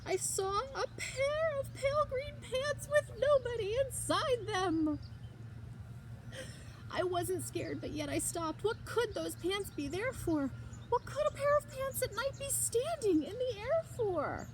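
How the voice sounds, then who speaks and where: A woman reads a storybook aloud in a high voice that swings widely in pitch. She leans into dramatic emphasis and stretches out key words for young listeners. The recording carries faint background noise. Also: animated, slow. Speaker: librarian reading aloud to children. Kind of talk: expressive storybook read-aloud.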